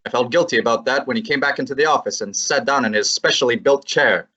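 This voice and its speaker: A cocky young assistant needles his boss and narrates the story in an old-time radio drama, as a performer plays him. His mid-range voice is a little husky and breezy. The recording sounds somewhat dull and lo-fi. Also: medium pitch, quick pace, a bit bright. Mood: narrating. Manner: brash, teasing, breezy.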